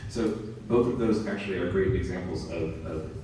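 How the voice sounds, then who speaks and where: Man, sick, deep-voiced facilitator, far off in a booming hall with a crowd. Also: moderate pace, background noise. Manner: informal, joking, many fillers. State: sick, losing his voice. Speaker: meeting facilitator. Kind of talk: facilitating a public meeting. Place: large meeting room. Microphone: handheld mic from afar. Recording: echoey hall, distant mic, crowd.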